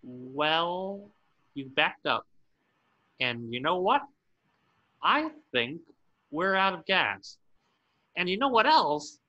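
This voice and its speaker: A man's voice: on the high side, very animated, takes it slowly, pauses often, bright, forceful tone, dull audio, heavily acted. A man playing a grumpy, exasperated cartoon squid cashier in a table reading, irritable and sarcastic. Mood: sour, exasperated.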